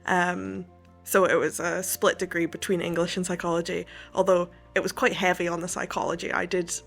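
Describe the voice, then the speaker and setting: Young woman with a gravelly voice. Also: lowish voice, little pitch movement, fairly quick, faint music behind. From west coast of Scotland. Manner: thoughtful, detailed storytelling. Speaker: guest, author. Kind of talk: interview.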